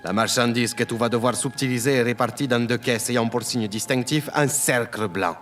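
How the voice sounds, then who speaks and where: A man's voice: medium pitch, normal speed, a bit bright, lightly acted. Man acting cold, imposing crime boss, music behind. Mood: cold, commanding. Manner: curt, authoritative. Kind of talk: audio drama acting. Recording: music under the voice.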